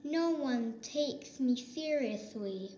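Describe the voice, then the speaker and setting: A woman's voice: high voice, lively intonation, slow, warm tone, slight background noise. A woman voicing a discouraged, sulky young male lion cub in a read-aloud storybook, in an echoey room. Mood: sulky, dejected.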